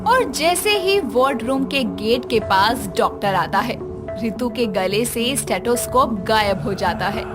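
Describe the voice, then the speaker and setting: A woman's voice: fairly high pitch, lively intonation, a little slow. A woman narrating a scripted horror story as a storyteller, with music behind her.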